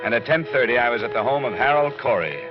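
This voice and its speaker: A man's voice: mid-range voice, animated, fast talker, over loud music, natural voice. Man acting a hard-boiled radio detective, muffled recording. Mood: matter-of-fact. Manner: terse, wry, unflappable. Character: hard-boiled, dogged male insurance investigator. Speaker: lead actor and narrator.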